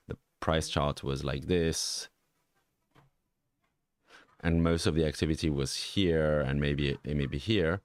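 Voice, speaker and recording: A middle-aged man with a Swiss French accent and an extremely low, deep voice talks through charts in a rambling, think-aloud way, full of ums and restarts. The recording is dry and close, with no room sound. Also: a little mellow, no room sound. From French-speaking Switzerland. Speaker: NFT market analyst host. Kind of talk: casual screen-share commentary.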